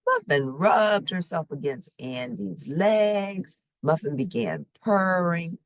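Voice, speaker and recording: A woman reading a children's story expressively, with simple, deliberate phrasing for young listeners. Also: lowish voice, expressive, dry recording. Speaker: storybook reader.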